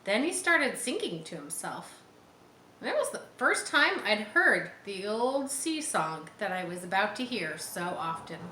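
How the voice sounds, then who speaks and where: A woman's voice: medium pitch, big pitch swings, measured pace, sharp tone. A woman reading a children's chapter book aloud, with simple explanatory asides for young listeners. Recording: roomy echo, faint background noise.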